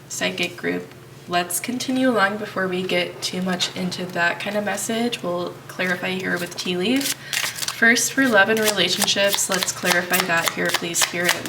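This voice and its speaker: A woman with a stuffy cold, reading tarot cards for viewers in a relaxed, reassuring way. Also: fairly low pitch, distant mic, noisy background. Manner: thinking aloud, reassuring, addresses viewers as piles. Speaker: tarot reader. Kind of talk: pick-a-card tarot reading.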